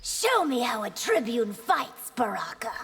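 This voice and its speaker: An actress playing a resolute, afflicted empress in a video game, with a regal, measured and stern delivery. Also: female voice, a little high, big pitch swings, vocal fry, exaggerated voice. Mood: commanding. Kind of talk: video game character dialogue. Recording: long hall reverb under loud music.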